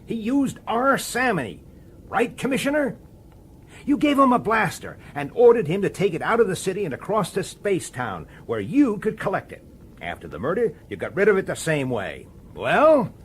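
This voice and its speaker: Defiant police detective, swooping pitch. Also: male voice, wildly swooping pitch, normal speed. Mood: triumphant, insistent. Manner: insistent, accusatory, clipped sardonic questioning. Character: dogged, defiant male police detective, framed and determined. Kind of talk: radio drama acting. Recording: slightly noisy radio drama recording.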